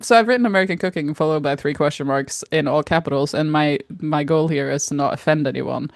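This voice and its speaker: A woman co-hosting a casual podcast chat, blunt and animated, laughing often. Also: low voice, fast talker, no echo, studio quality. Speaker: podcast co-host.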